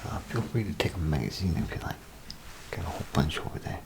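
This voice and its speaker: Very deep, hushed, creaky ASMR man. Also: big pitch swings, noisy background. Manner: hushed, half-whispered, unhurried and casual. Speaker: ASMR performer playing a bathroom attendant. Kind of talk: soft-spoken ASMR role play.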